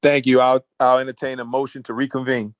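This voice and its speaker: A man chairing a formal board meeting in a halting, procedural way, often restarting his sentences. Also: mid-range voice, level tone, no echo, clean studio sound. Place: virtual meeting call.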